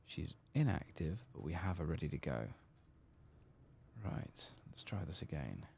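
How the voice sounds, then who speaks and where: Male ASMR game host: low-pitched, lilting soft murmur that slips into whispers, faint noise behind. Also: very animated, a little slow, creaky. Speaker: gaming channel host.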